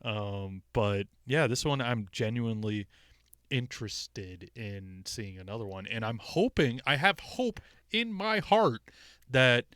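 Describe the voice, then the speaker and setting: Male podcast co-host: crisp, forceful, animated rambler, casual and sweary. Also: mid-range voice, slow pace, no echo, clean studio sound. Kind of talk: casual opinionated film and game chat.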